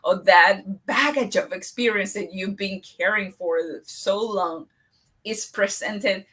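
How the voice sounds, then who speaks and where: A woman from Latin America with a Latin American Spanish accent, talking on a live online panel, animated, with many restarts and fillers. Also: medium pitch, slow pace, recorded from a distance.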